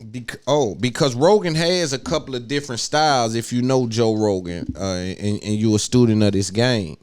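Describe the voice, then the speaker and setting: A man's voice: mid-range voice, normal speed, darkish tone, no room sound. A man hosting a podcast, giving an opinionated monologue and repeating phrases for emphasis. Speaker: podcast host.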